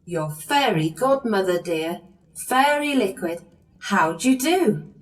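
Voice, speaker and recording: A woman's voice: medium pitch, animated, slow pace. A woman playing a kindly, motherly fairy godmother who tells a pantomime story directly to children. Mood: kindly, reassuring. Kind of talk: pantomime narration and acting. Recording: long hall reverb, distant, over music.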